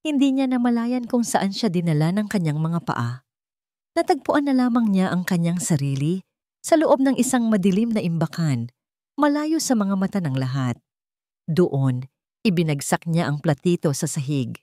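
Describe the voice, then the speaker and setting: A woman narrates a dramatic story from a script. Her voice sits on the low side, and the recording is dry, with very little room sound. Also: very animated, normal speed, mellow, dry recording.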